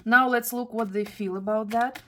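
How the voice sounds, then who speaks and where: A woman from Kazakhstan, not an English speaker, giving a tarot reading in careful, halting English. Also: a little high, steady tone, sharp tone, a little hiss or hum. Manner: careful, halting non-native English with restarts. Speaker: tarot reader.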